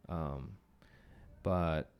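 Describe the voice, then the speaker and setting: A young man with a very deep, low voice. He talks in a relaxed, wandering way, thinking aloud as he chats on a podcast and letting sentences trail off. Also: drawn-out words, clean tone. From United States. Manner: relaxed, musing, trailing off mid-thought. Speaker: podcast host. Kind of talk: casual conversation.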